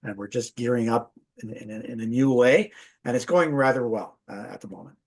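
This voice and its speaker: A man who is a company executive introduces himself in a relaxed, conversational way. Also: medium pitch, normal speed, gravelly, slightly rough. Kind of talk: self-introduction. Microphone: video call.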